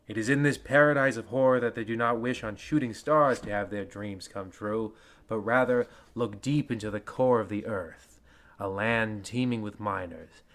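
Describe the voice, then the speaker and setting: A man playing a pompous, crotchety old narrator of a radio horror show, with a theatrical, drawn-out delivery. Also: fairly low pitch, a bit bright.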